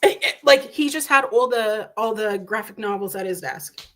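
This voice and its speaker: A woman in her thirties hosting a casual interview, chatty and quick to giggle. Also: mid-range voice, a bit bright. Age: 30s. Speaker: host.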